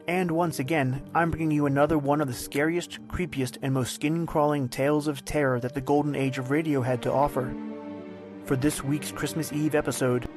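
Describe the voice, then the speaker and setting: Theatrical male radio narrator over music. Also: a little high. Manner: theatrical storytelling. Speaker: podcast host and narrator. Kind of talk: scripted old-time radio narration.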